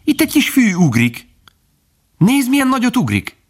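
A man's voice: mid-range voice, big pitch swings, voice pushed up. A man voicing a young male fawn in a storybook, an innocent, curious child who speaks eagerly and politely. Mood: excited wonder. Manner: eager, exclaiming, politely pleading.

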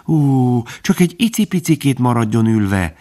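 A man's voice: medium pitch, big pitch swings, pitched above the performer's own voice. A man voicing a young male fawn in a storybook, an innocent, curious child who speaks eagerly and politely. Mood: polite, pleading. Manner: eager, exclaiming, politely pleading.

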